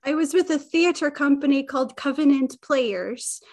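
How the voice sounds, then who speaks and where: A middle-aged woman from Utah, a guest on a podcast, chatting in an animated, talkative way. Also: high voice, normal speed. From Utah, USA. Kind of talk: casual interview chat.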